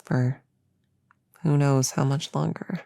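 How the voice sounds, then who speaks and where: A woman playing a flustered, earnest young novice witch in an ASMR audio roleplay, soft-spoken and halting, slipping into whispers. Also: very deep voice, fairly even intonation, vocal fry, no echo, studio quality, full character voice. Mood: sheepish.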